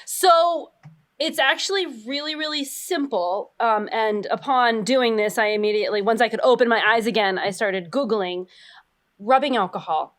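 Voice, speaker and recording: A woman's voice: on the high side, normal speed, a bit bright. A woman chatting casually and comedically as a podcast panelist, in a rambling way.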